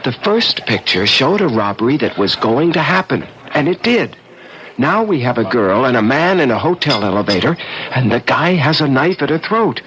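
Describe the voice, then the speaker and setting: A man's voice: medium pitch, big pitch swings, normal speed, background noise, lightly acted. A man playing a headstrong, ambitious young man, insistent and argumentative, in 1970s radio drama style. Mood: urgent, insistent.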